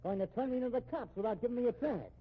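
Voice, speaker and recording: An actor playing a bitter fugitive killer in a 1950s radio drama, with slangy, sneering American speech. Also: male voice, extremely high pitch, lively intonation, racing, breathy edge, exaggerated voice. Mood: hostile, barking. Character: cornered fugitive killer, tough, bitter, working-class brother. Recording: muffled, tinny old radio recording.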